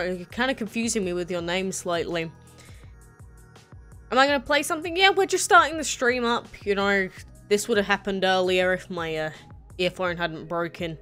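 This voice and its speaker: A woman's voice: medium pitch, fairly bright tone. Chatty teen girl streaming. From England. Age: late teens. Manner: chatty, rambling, frequent "uh" and "basically". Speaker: live streamer. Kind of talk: casual live-stream chat, reading chat aloud.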